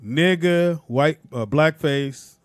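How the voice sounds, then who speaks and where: A man co-hosting a casual group podcast, telling animated stories full of slang and laughing through his lines. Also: a little high, takes it slowly, no room sound. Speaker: podcast co-host.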